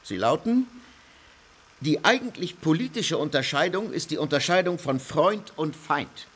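Pompous lecturing theorist, declamatory, slightly high voice, radio play. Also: male voice, sing-song, a little slow, fairly bright tone, slightly rough, exaggerated voice. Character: pompous male political theorist lecturing dignitaries.

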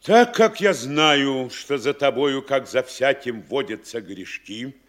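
Casting: a nervous, self-important small-town mayor; unhurried, very expressive radio-drama delivery. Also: male voice, medium pitch. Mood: uneasy. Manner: measured, weighty, fretful, storytelling. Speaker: actor in a radio play. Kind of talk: classic studio radio drama acting.

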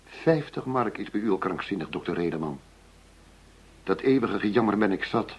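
An actor in a Dutch radio play playing a blustering, fed-up police chief who talks bluntly, with sarcasm and combative demands. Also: male voice, fairly low pitch, animated, normal speed, breathy edge. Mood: angry, demanding. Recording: dull, muffled radio drama recording.

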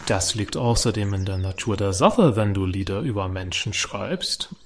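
A man's voice: lowish voice, lively intonation, moderate pace, slight background noise. A man playing an easygoing, curious songwriter in an audio drama. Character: easygoing, curious songwriter, newcomer to farm commune. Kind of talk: German audio drama dialogue.

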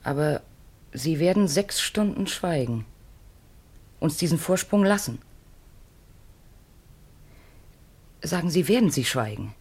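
An actress in a 1950s German radio play voicing an earnest, wistful middle-aged mother on the run, speaking in measured, pleading short phrases. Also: low-pitched, lively intonation, darkish tone, slightly rough, exaggerated voice. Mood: urgent, pleading. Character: Marianne, earnest, wistful fugitive mother of grown children.

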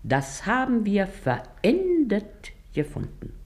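Shrewd bossy berlin mum, radio play, blunt haggler, really animated up and down delivery. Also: female voice, medium pitch, takes it slowly. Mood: stubborn refusal. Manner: blunt, earthy, wily. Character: shrewd, bossy working-class mother haggling hard. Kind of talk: German radio play acting.

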